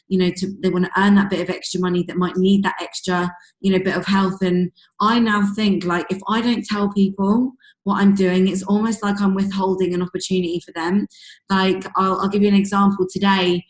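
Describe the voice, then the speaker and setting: A woman with a husky, fading voice, coaching her sales team on a call in a chatty way full of fillers. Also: mid-range voice. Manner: chatty, many fillers like "um" and "you know". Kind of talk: casual motivational coaching talk. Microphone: video call. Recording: echoey room over a video call.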